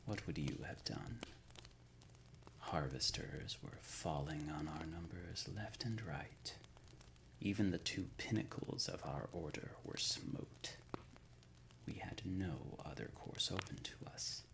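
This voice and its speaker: A man playing an ancient, solemn undead leader of a secret order in an ASMR roleplay, speaking in a breathy, gravelly whisper with formal, archaic diction. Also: deep voice, slow, full character voice. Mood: grave recollection.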